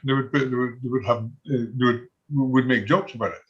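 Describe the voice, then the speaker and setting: Older Scottish male, ex-soldier historian, halting conversational delivery, average pitch, muffled lo-fi sound. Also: steady tone. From Scotland. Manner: halting, conversational, chuckles often. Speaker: military historian and former soldier. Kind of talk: informal talk on military history. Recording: dull, lo-fi audio.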